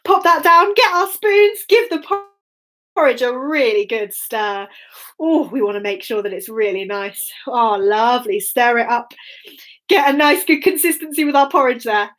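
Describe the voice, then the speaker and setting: A woman telling an interactive bedtime story to young children, expressive and encouraging, with sing-song phrasing and dramatic pauses. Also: fairly high pitch.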